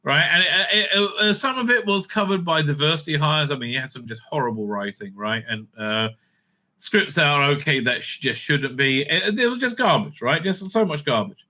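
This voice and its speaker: Forceful, bright-voiced man rambling through opinions. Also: on the high side, animated. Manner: emphatic, repetitive, halting, often tagging points with "right?". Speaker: host of a fan news and opinion show. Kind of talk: casual, rambling opinionated commentary.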